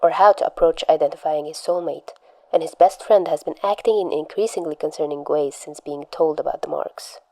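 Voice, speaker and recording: A woman's voice: low voice, fairly quick, mellow, dry recording, rough recording. A woman narrating written fiction in a scripted audiobook style. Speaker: narrator reading fan fiction.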